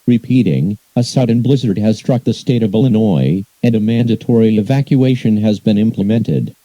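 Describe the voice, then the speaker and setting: A man reading a scripted emergency broadcast announcement in a flat, formal, measured, synthetic-sounding manner. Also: fairly low pitch, dry recording, low-quality recording.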